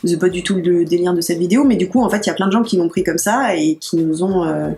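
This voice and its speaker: A woman's voice: fairly low pitch, fast, mellow, reverberant. A young woman chatting casually in an interview about her channel, with plenty of fillers and restarts. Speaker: interviewed YouTube channel creator.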